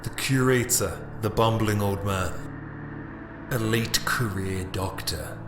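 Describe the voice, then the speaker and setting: A man playing a scheming, grandiose emperor hungry for power, speaking in pompous, menacing monologue in an audio drama. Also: fairly low pitch. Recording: echoing voice under loud music. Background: music.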